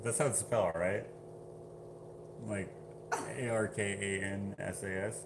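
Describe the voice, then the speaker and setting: A man's voice: on the low side, steady tone, unhurried, background noise. A man casually chatting on a livestream, reading viewer comments aloud and laughing often. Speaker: livestream host.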